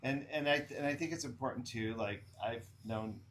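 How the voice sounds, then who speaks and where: A man of sixty co-hosting a podcast, relaxed and given to teasing jokes. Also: mid-range voice, moderate pace, a little hiss or hum. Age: 60s. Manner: teasing, joking. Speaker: podcast co-host. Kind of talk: casual conversation.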